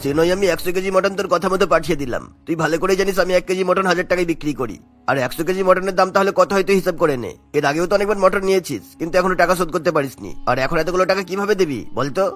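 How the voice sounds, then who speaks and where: A man playing a gruff, stern butcher in a cartoon, a grudging creditor who scolds and threatens. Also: sits high, racing, a bit bright, faint music behind. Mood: scolding.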